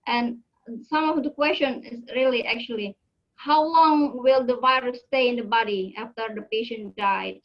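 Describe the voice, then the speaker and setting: Female webinar moderator, fairly high voice, polite halting delivery, muffled lo-fi call audio. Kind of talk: question and answer moderating. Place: online video call. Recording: dull lo-fi web call audio.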